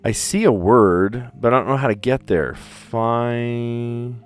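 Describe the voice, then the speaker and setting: A man's voice: medium pitch, slow, soft background music. A man from the US East Coast with a general American accent, hosting a puzzle livestream and chatting casually as he thinks aloud, with careful diction.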